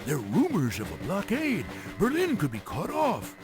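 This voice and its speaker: High, wildly swooping theatrical game briefer. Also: male voice, wildly swooping pitch, moderate pace, over music. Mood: grave, dramatic. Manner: theatrical, teasing, grandiose. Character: blustery, folksy, wisecracking male mission briefer in a train game. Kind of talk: theatrical scripted game cutscene narration.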